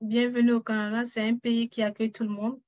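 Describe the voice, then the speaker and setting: A woman hosting a workshop over a video call, brisk and welcoming, laughing easily between questions. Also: on the high side, level tone, darkish tone, no echo, dull audio. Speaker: workshop host.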